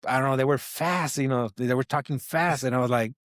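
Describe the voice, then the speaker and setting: A man's voice: medium pitch, brisk, a bit bright, no echo, clean studio sound. A man from Mexico with a heavy Mexican Spanish accent, Spanish his first language, telling a rambling story as a guest in a casual interview, with many fillers and restarts. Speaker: designer guest.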